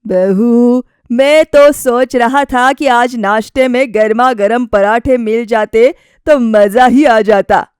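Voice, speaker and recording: A woman's voice: on the high side, slow pace, hardly any pauses, smooth, no room sound, studio quality. A woman voicing an elderly father-in-law in a story, easygoing and plain-spoken. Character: elderly father-in-law fond of his food.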